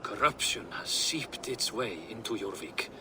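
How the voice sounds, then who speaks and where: An actor playing a cautious, burdened old Norse warrior in a dramatic video game. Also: male voice, fairly low pitch, animated, measured pace, background noise. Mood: wary, troubled.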